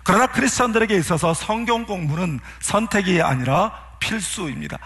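A pastor delivers a sermon in a notably high voice for a man. He speaks earnestly and keeps turning to his listeners with rhetorical questions. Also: expressive, a little fast, a bit bright.

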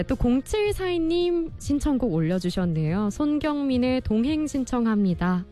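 A woman hosting a radio music show, reading listener messages in a polite, expressive presenter style. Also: mid-range voice. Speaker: radio host and producer. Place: radio studio.

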